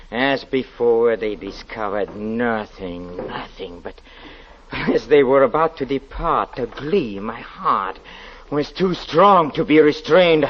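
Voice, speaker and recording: An unhinged, slow-speaking murderer. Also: male voice, mid-range voice, background noise. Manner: halting, stammering, with nervous chuckles and repeated words. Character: guilt-haunted man, a wife's murderer, unhinged and gloating. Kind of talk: 1940s radio horror acting, first-person narration. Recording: muffled old 1940s radio recording.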